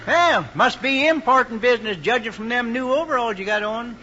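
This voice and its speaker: A man's voice: very high voice, lively intonation, fairly quick, bright, forceful tone, full character voice. An actor playing a friendly, nosy rural mailman in an old drama, chatting folksily in a Southern American accent. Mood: friendly, curious. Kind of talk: vintage rural screen drama acting. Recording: muffled old film soundtrack, clear noise.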